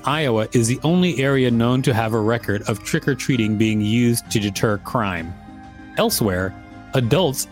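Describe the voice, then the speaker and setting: A man's voice: medium pitch, a little mellow. A man co-hosting a podcast, reading encyclopedia text aloud with casual asides. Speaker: podcast co-host.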